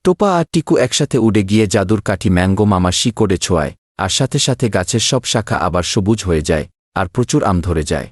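Male narrator for children's cartoon tale: lowish pitch, expressive swoops, scripted read over music. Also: fast talker, husky, dry recording, clean studio sound.

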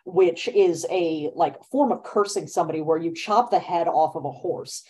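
A young adult woman talking on a video call from her bedroom, lively and quick to laugh as she leads the discussion. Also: fairly low pitch. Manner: lively, laughing, speeds up when animated. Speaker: author and event moderator. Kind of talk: casual conversation on a video call. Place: bedroom at home. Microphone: laptop webcam mic on video call.

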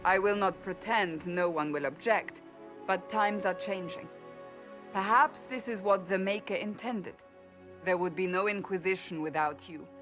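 A woman's voice: medium pitch, a little slow, a bit bright, natural voice. An actress as a solemn, resolute woman warrior. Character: resolute, earnest female warrior counselling a leader. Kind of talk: dramatic fantasy game dialogue. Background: music.

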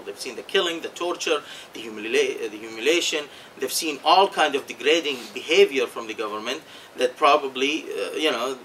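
A man's voice: a little high, sharp tone, far from the mic, rough recording. A man in his thirties with a Bahraini accent, speaking earnestly on a panel in a conference room, often repeating words as he searches for them. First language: Arabic. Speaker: activist panelist. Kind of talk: panel discussion remarks. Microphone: table mic. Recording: faint noise, from off mic.

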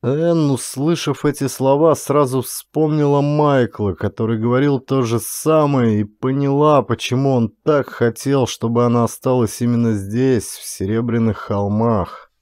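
Male narrator for dramatic fiction audiobook, warm dark tone, mid pitch, dry studio-close sound. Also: steady tone, a little slow, few pauses, no room sound.

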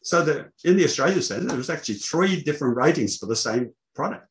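An older man presenting technical material on a video call, explaining in a halting way. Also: on the high side, lively intonation, slight background noise, rough recording. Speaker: presenter, loudspeaker engineer. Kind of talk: technical presentation to an online meeting. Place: small indoor room, online video call. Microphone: video call microphone.

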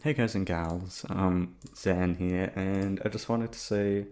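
A man's voice: low voice, fairly even intonation, takes it slowly, a little mellow. A young man chatting informally to his listeners, meandering and swearing freely. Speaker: audio roleplay voice actor. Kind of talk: casual rambling chat to listeners.

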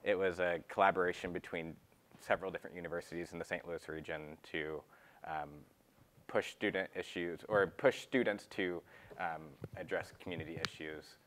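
A man in his twenties from Texas, introducing himself on a panel in a measured way with many fillers. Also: deep voice, fairly even intonation, a bit bright. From Texas, USA. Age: 20s. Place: large meeting room.

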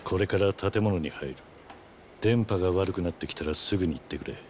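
A very deep-voiced, middle-aged gangland veteran played by a male actor in a video game. He gives orders tersely and calmly, with a gruff, stoic authority. Loud scene ambience and vehicle noise sit behind him. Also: fairly quick, lightly acted. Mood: calm, businesslike. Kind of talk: restrained Japanese game cutscene acting.

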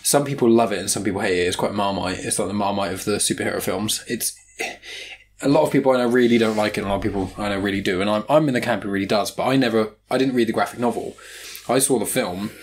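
A man's voice: on the low side, fast talker, slight background noise. A British man in his twenties hosting a casual film review podcast, rambling and correcting himself as he goes. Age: 20s. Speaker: podcast host.

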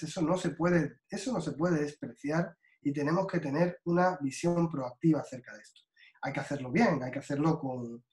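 A man from Spain giving a slow, deliberate guest lecture over a video call, with many fillers and restarts. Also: a little high, reverberant.

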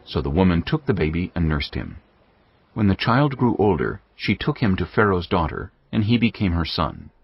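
Deep solemn male Bible narrator. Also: very animated, husky. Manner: measured, solemn. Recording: dull studio track under music.

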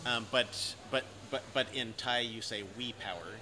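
A man's voice: medium pitch, moderate pace, crowd noise. A man in his forties with an American accent, chatting as an interviewer in a cafe and often restarting his sentences. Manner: repetitive restarts, chatty and amused. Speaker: interviewer, host. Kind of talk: casual interview conversation. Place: cafe by large windows, indoors. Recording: noisy cafe ambience.

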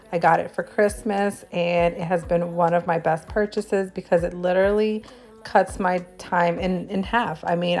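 A woman's voice: fairly low pitch, a little mellow. A woman who is a stay-at-home mom, chatting through a voice-over for her clean-with-me video. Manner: chatty, upbeat product talk with fillers. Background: faint background music.

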